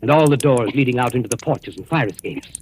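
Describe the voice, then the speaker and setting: A deranged, menacing hotel keeper played by a male actor in a vintage radio thriller. He talks with folksy insistence and ominous deliberation at a middling pitch. The old recording carries a light layer of noise. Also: expressive, fast talker, lightly acted. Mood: quietly menacing. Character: menacing, deranged male hotel keeper obsessed with his dead sister-in-law. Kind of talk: old-time radio drama acting.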